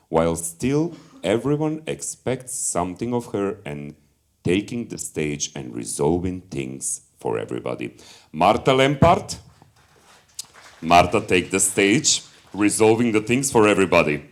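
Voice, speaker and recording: Male moderator with a very deep voice and a lively, earnest delivery. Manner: earnest, searching for words. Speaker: event moderator. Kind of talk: introducing a speaker. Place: conference hall stage.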